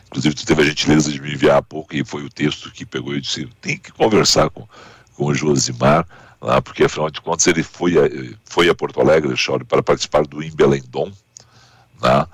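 A man in his thirties with a Gaúcho accent hosting a radio interview, chatty and animated, full of tag questions. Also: rumbling low, a little fast, husky, no echo. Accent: Gaúcho Portuguese. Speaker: radio host. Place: radio studio. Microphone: studio mic with headphones.

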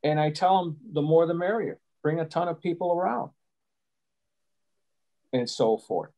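A man in his sixties from Chicago, a guest photographer giving measured, rambling answers over a webcam video call from a small room at home. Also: on the high side, animated, mellow. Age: 60s. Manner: measured, explanatory, rambling asides. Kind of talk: casual interview answers.

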